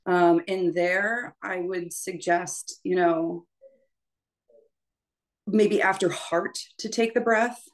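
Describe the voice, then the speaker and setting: Woman, music teacher, slightly low voice, informal workshop talk, explanatory, conversational, checks in often. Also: fairly even intonation, slow pace, long pauses.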